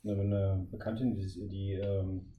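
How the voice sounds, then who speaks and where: A man's voice: deep voice, level tone, measured pace, phone-quality sound. A man hosting a podcast, chatting and asking questions casually. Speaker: podcast host. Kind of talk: casual interview chat. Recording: echoey room, faint background noise.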